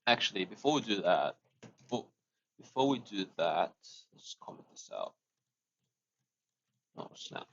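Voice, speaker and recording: Slow-talking guy live-coding. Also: fairly low pitch, big pitch swings, a bit bright, muffled recording, a little hiss or hum. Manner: thinks aloud, restarts phrases, chuckles often. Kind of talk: casual live-stream coding chat.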